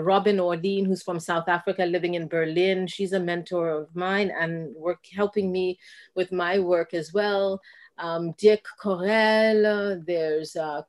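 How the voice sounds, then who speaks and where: A middle-aged woman from Kenya speaks on a video call from a room at home, picked up by a laptop mic. She talks in a reflective, lively way and acts out the conversations she recalls. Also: lowish voice. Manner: reflective, animated storyteller who acts out quoted exchanges. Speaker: dancer and guest.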